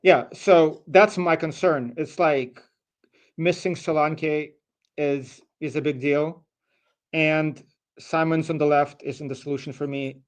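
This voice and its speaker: Slightly high-voiced man debating football. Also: steady tone, slow. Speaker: phone-in guest. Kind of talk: casual football debate chat.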